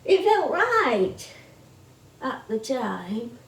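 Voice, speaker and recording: A woman's voice: a little high, big pitch swings, drawn-out words, vocal fry, exaggerated voice. An actress playing a chatty, nostalgic older comedienne with a Northern English accent, rambling and exclaiming as she tells stories on stage. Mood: fond, animated. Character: veteran comedienne, chatty, nostalgic older music-hall woman. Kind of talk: theatrical stage monologue and dialogue. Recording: echoey theatre stage from far off.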